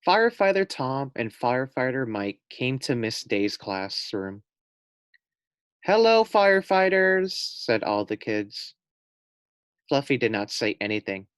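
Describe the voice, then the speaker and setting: Slow, gentle man reading a storybook. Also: fairly low pitch, clear, resonant tone, no echo. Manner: slow, gentle, with asides to the children. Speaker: teacher reading to first graders. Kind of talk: children's read-aloud.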